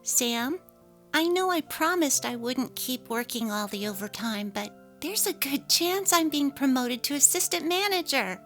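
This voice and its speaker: A woman's voice: high voice, expressive, a little slow, a bit bright. A woman voicing an apologetic, evasive young working wife and mother in a dramatic story reading. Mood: placating, evasive. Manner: apologetic, placating. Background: faint music.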